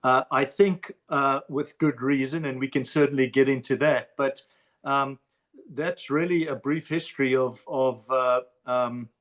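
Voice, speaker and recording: An older man from South Africa with a South African accent, a podcast guest who talks in a halting way and often repeats words as he starts a thought. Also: mid-range voice, fairly even intonation. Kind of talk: casual interview talk.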